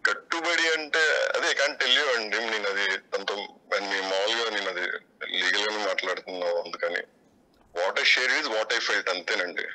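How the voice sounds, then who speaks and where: A man's voice: on the low side, sharp tone, poor audio. A man answering interview questions spontaneously, defensive and evasive, often repeating himself. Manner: defensive, evasive, halting repetitions.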